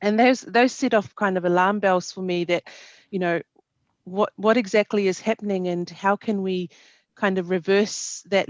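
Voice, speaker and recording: A young woman, a panel guest answering thoughtfully, with many pauses and ums, over a headset on a video call. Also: medium pitch, dry recording. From New Zealand. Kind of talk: casual interview answers. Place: small indoor room. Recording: dry, dull video call audio.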